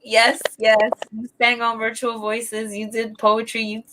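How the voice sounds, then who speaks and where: Host: young woman, unhurried, higher-pitched, chatty and slangy, laughing often; streamed from her room over a video call. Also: monotone, noisy background. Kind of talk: casual live-stream chat.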